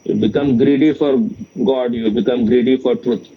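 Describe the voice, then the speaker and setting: A man giving an informal spiritual question-and-answer talk as a teacher, speaking in a halting, repetitive and bluntly opinionated way. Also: medium pitch, steady tone, slightly rough. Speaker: spiritual teacher in dialogue with a student. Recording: dull, lo-fi sound.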